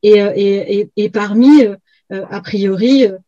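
A middle-aged woman speaking haltingly in an academic discussion, repeating words as she searches for phrasing. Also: mid-range voice, warm tone, no room sound. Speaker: historian and book co-author being interviewed. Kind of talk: academic discussion on a video call. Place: indoors on a video call, virtual background. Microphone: computer video call. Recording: slightly muffled video-call audio.

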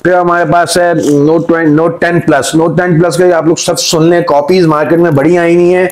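Higher-pitched Pakistani man pitching like a salesman. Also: flat delivery, normal speed, crowd noise. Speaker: mobile phone seller. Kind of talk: informal sales pitch to camera. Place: indoor room with display table.

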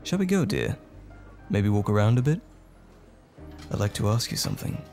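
A man playing a tender, smitten date in an intimate romantic ASMR roleplay, speaking gently and hesitantly with lingering pauses, close to the microphone. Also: lowish voice, sing-song, vocal fry, soft background music. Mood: gentle, earnest. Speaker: audio roleplay performer.

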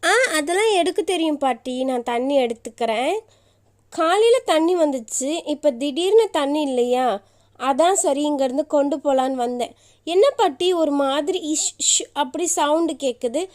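A woman voicing a timid, fretful young village wife in an animated story. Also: high voice, normal speed. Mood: chatty, uneasy. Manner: fretful, pleading, repetitive.

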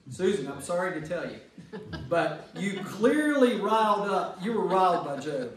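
A man's voice: high-pitched, very animated, normal speed. A man leading a Bible study for a group, teaching emphatically and repeating phrases for emphasis. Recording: very echoey room, distant mic, faint noise.

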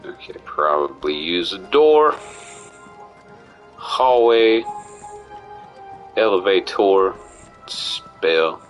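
A man's voice: deep voice, expressive, very slow, pauses often, soft background music. A man streaming a game with casual commentary, musing aloud and breaking into playful theatrical outbursts. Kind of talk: casual live gameplay commentary.

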